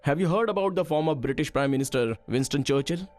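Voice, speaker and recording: An actor playing a brisk, encouraging male call centre trainer in film dialogue. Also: on the high side, darkish tone, soft background music, lightly acted. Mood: probing, calm.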